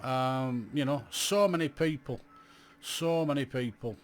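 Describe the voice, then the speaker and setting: A man's voice: medium pitch, unhurried. Blunt chatty man in his fifties. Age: 50s. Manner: blunt, chatty, self-described grumpy, lots of "you know". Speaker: radio amateur vlogger. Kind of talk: reflective casual monologue to camera.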